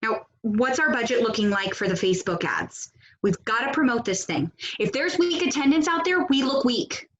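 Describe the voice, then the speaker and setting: A woman plays a bossy, organized female activist in a video-call comedy. Her high voice swings widely in pitch. She is exasperated, pointed and sarcastic. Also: lively intonation, normal speed, highs cut off, slight background noise, lightly acted. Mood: businesslike. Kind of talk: comedic stage acting over video call. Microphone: video call microphone.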